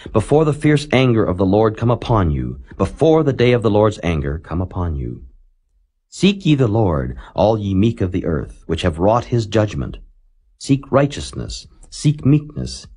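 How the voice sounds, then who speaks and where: A man giving a solemn, measured, declamatory scripture reading as a Bible narrator. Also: lowish voice, a little mellow, slightly rough.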